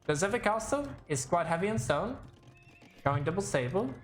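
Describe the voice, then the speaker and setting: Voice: mid-range voice, big pitch swings, moderate pace, roomy sound, soft background music. A game caster giving casual live commentary and chatting with viewers, rambling, thinking aloud and often self-correcting.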